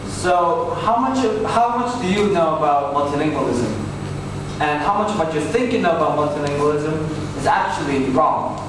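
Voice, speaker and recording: A man's voice: a little high, expressive, normal speed. Young man presenting in a very echoey hall. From Seoul, South Korea. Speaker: student speaker. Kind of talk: rehearsed presentation to an audience. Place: large echoey indoor event hall. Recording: very echoey hall, distant, noisy.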